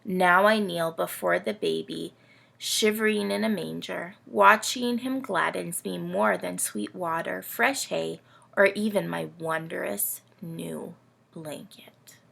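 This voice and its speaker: A woman plays a vain, melodramatic storybook camel who feels very sorry for himself. The voice is bright but heavily creaky, and every phrase is drawn out slowly and theatrically. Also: fairly low pitch, heavily acted. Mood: awed, tender. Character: self-pitying, melodramatic, vain male camel of the Nativity.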